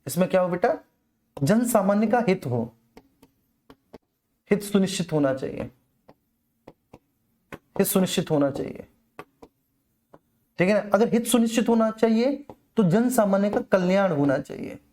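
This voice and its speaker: A woman teaches an exam-prep class in a dark, relaxed voice at a middling pitch. She lectures informally, restates key phrases for emphasis and keeps checking that students follow. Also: normal speed, pauses often.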